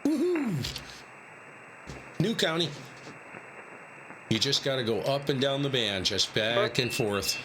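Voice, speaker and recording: A man's voice: medium pitch, sing-song, slow, pauses often. A man hosting a live amateur radio stream, mixing slangy, enthusiastic casual commentary with contest exchanges. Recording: clearly noisy room, radio static, clicks.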